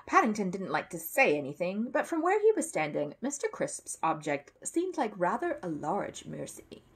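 A woman's voice: medium pitch, huge pitch swings, normal speed, husky. A woman in her thirties reading a children's book aloud expressively, as a narrator at home. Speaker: story reader. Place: dim room at home.

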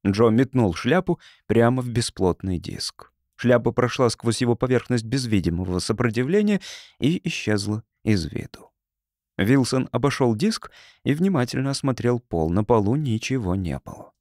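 Male narrator for sci-fi audiobook. Animated intonation, mid pitch, expressive storytelling. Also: normal speed, no echo, clean studio sound. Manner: expressive, dramatised reading. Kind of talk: scripted narration of a humorous science fiction story.

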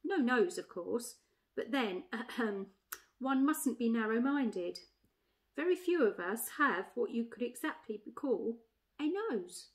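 A genteel storybook she-elephant, acted by a woman. Her voice is raised and high, with a mild, deliberate manner and a touch of prim pride. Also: lively intonation. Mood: musing. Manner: mild, deliberate, primly self-satisfied.

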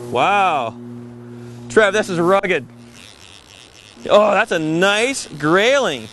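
A middle-aged man hosting a fishing show on location, wading in a river and exclaiming excitedly over his catch. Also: high voice, very slow, a little hiss or hum. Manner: excited, exclaiming, laughing often. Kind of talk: on-location casual chat.

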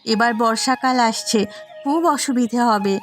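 A woman voicing a gently nagging village housewife in a fairy-tale cartoon. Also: fairly high pitch, moderate pace, soft background music, lightly acted. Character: village housewife, sister-in-law, gently nagging about repairs.

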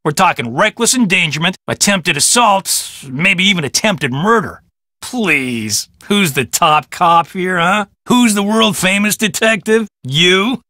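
Cocky, animated celebrity detective. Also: male voice, high-pitched, unhurried, no room sound, clean studio sound, exaggerated voice. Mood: combative, dismissive. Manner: boastful, condescending, glib. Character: cocky, self-important male celebrity police detective. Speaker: voice actor in a detective adventure game. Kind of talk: video game character dialogue.

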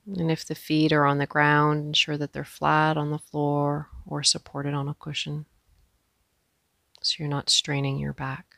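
Female yoga instructor for guided meditation; gentle, pausing delivery, noticeable vocal fry, very dry sound. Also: sits low, monotone, no echo. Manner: gentle, unhurried, with pauses.

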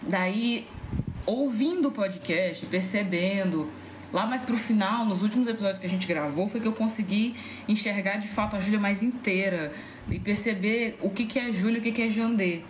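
A woman's voice: medium pitch, normal speed. A woman chatting casually and informally as a podcast guest, telling stories with plenty of slang. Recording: roomy echo, faint background noise.

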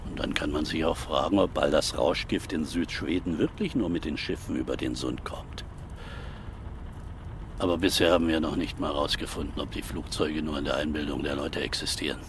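Gravelly older veteran detective. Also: male voice, rumbling low, fairly even intonation, moderate pace, background noise. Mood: pensive, sober. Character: seasoned veteran male police detective, sober and thoughtful. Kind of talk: crime radio drama dialogue.

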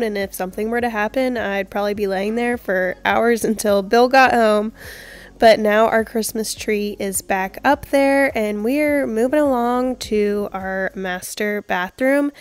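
A young woman narrating her house cleaning in a chatty, upbeat voice-over. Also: mid-range voice. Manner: chatty, upbeat, with small laughs. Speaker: home cleaning vlogger.

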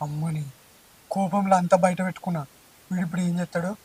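A man's voice: very high voice, moderate pace, very noisy. A young man with a Telangana Telugu accent playing a broke, dramatic village lad in a comedy skit. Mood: muttering aside.

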